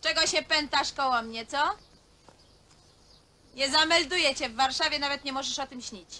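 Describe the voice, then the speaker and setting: An actress playing a hard, coquettish middle-aged widow, blunt and taunting, in naturalistic film drama. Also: female voice, high voice, normal speed, pushed and bright. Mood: bitter, defiant. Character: hard, coquettish widowed woman craving a younger man. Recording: faintly noisy old film soundtrack.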